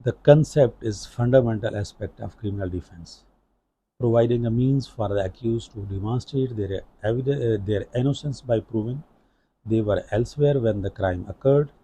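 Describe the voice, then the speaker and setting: A man with a Pakistani accent giving a formal explanatory law lecture in halting phrases. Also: medium pitch, warm tone, slightly rough, poor audio.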